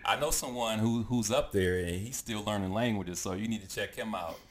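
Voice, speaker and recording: A man in his thirties chatting casually and haltingly, often repeating his phrases as he goes. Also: on the low side. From United States. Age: 30s. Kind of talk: casual chat.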